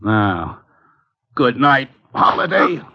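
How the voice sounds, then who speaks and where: An actor playing a menacing, sardonic gunman in a 1940s radio crime drama, husky and terse. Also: medium pitch, very animated, takes it slowly, very noisy. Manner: terse, tough-guy commands. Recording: dull vintage radio recording.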